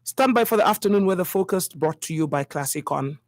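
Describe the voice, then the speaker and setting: A woman's voice: lowish voice, lively intonation, fast, no echo. A woman with a Zambian accent presenting a scripted radio news bulletin.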